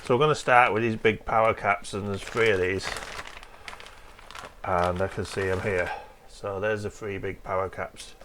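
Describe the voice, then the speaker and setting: A hoarse man with a cold, hosting a casual repair walkthrough in a home workshop, explaining each step in a chatty, rambling way. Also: fairly low pitch, steady tone, normal speed, background noise. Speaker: host of a retro computer repair channel.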